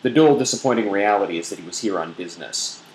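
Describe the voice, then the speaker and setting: A man's voice: fairly low pitch, expressive, fast. A man giving a theatrical, mock-sensual dramatic reading of an erotic novel. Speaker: blogger reading aloud. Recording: roomy echo, faint background noise.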